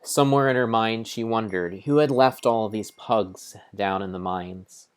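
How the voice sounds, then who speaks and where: A man's voice: fairly low pitch, unhurried, clean tone. A man giving a solemn, theatrical mock-dramatic narration of a video game, as if reading a grand storybook. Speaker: streamer narrating his game play.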